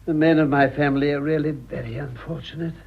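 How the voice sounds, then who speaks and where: An actor playing an older upper-class gentleman, formal, grave and wry, in a radio drama. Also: a little high, fairly even intonation, fast, phone-quality sound, slight background noise, lightly acted. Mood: grave, urgent.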